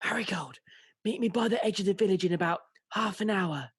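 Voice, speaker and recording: A woman playing a polite, soft-hearted young squire's son in a radio pantomime. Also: medium pitch, a little fast, husky, no echo, clean studio sound. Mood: shy, fond. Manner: polite, gentle.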